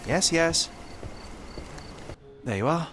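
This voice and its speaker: An actor playing a young, logical detective in a video game, dry, precise and dismissive. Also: male voice, a little high, expressive, slow, over music. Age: young adult. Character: young Sherlock Holmes, cool, logical, dry. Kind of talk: video game cutscene voice acting.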